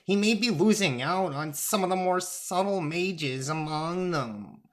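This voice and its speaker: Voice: medium pitch, expressive, a little slow, voice pushed up, lightly acted. A storyteller voicing an indignant, lofty ancient Egyptian god who takes the form of a feather quill. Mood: lofty, argumentative.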